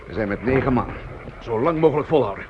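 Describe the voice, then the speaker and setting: An actor playing a brisk, resolute army lieutenant with a gravelly, husky voice, giving orders in a Dutch radio drama. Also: male voice, mid-range voice, lively intonation, a little hiss or hum, natural voice. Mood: brisk, commanding. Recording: dull, muffled old radio recording.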